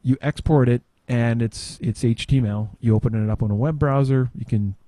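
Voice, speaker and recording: A man giving an informal software demo, halting and tangential as he thinks aloud in casual talk. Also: mid-range voice, fairly even intonation, dry recording. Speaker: podcast panelist demonstrating software.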